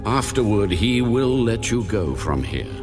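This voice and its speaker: An actor playing a majestic, authoritative God in a dramatised Bible reading, proclaiming solemn commands. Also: male voice, on the low side, sing-song, a little slow, over music. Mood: solemn decree. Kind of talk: dramatised audio Bible acting.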